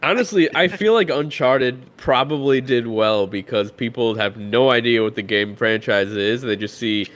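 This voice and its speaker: A man's voice: medium pitch, normal speed, hardly any pauses, fairly bright tone. A man hosting a casual podcast, talking while getting over a cold, his voice scraggly and sniffly, laughing often and restarting his sentences. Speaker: podcast host.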